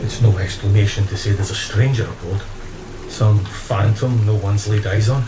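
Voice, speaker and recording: An actor playing a stern, rational first mate in a gothic audio drama, insistent and scornful. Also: male voice, fairly low pitch, fairly even intonation, normal speed, heavy background noise, natural voice. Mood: stern, dismissive. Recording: echoey room, loud rain and thunder.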